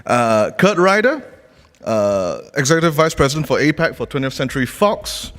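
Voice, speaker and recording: A man acting as emcee, introducing a conference panel in an upbeat, lively manner. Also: medium pitch, moderate pace. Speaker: event emcee. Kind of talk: stage announcement. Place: conference hall. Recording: reverberant hall.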